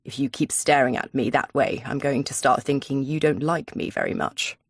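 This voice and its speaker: A woman's voice: a bass voice, lively intonation, moderate pace, slightly rough, no echo, studio quality, voice pushed down, exaggerated voice. A woman voicing a menacing, coolly controlling male villain in a thriller audiobook, taunting and commanding.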